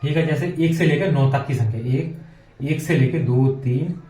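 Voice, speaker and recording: A man's voice: a little high, moderate pace, mellow. A man teaches maths step by step in an informal way, repeating phrases and adding frequent check words. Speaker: maths teacher for exam preparation. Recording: echoey room, distant microphone.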